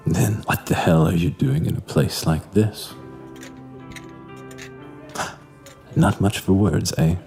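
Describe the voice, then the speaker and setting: An actor playing a hard-drinking, lustful barfly in a noir audio drama, with a slow, breathy, brooding delivery. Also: male voice, low-pitched, mellow, lightly acted. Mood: flirting. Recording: music bed behind the voice.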